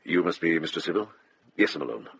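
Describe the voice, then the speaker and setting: An actor playing a shrewd middle-aged monk investigator in a radio drama, speaking in a terse, probing, direct way with a husky voice. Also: male voice, low-pitched, lively intonation, quick pace, no room sound, heavily acted. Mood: brisk, earnest.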